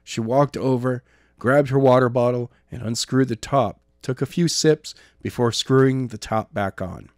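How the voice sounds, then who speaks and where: A man's voice: mid-range voice, unhurried, no echo, clean studio sound. A man reading a story aloud as an amateur narrator, now and then stumbling and rereading a phrase. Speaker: audiobook narrator.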